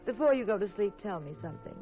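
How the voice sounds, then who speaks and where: An actress playing an arch, flirtatious wife and sleuthing partner in a 1950s radio drama. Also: female voice, medium pitch, animated, fast talker, natural voice. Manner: arch, playful. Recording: dull vintage recording with music.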